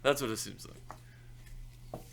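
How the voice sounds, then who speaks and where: A man's voice: extremely slow, fairly bright tone. A man co-hosting a casual podcast, giving blunt takes and swearing freely. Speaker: podcast co-host.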